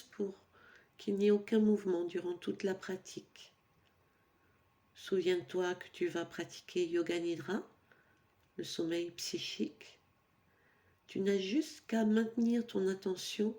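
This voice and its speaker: Slow, mellow woman guiding meditation. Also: medium pitch. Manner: calm, soothing, instructional delivery with pauses. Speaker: yoga nidra instructor. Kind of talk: guided meditation for sleep.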